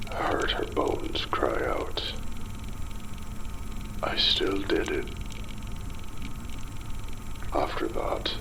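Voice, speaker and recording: A man playing a desperate, guilt-ridden father losing his mind, in a dramatic horror audio monologue with a gravelly, breathy voice that often drops toward a whisper. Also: rumbling low, full character voice. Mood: remorseful, hollow. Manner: slow, brooding, halting delivery in short phrases. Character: desperate, guilt-ridden father turning mad in a ruined city.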